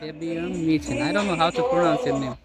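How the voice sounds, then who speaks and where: A man's voice: a little high, fast talker, loud music behind the voice. A Bangladeshi man in his twenties with a Bangladeshi accent, practicing English on a video call, hesitant and stumbling, laughing often. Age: 20s. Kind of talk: casual video chat.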